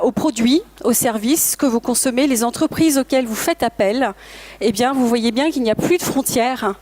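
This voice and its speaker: A woman whose first language is English gives a motivational talk at a trade fair, with a voice a little higher than most. She speaks earnestly to the audience and often addresses them directly. Now and then she hesitates and corrects herself in mid-sentence. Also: darkish tone. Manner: occasional self-corrections and hesitations. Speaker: conference speaker, language school founder. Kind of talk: presentation to an audience.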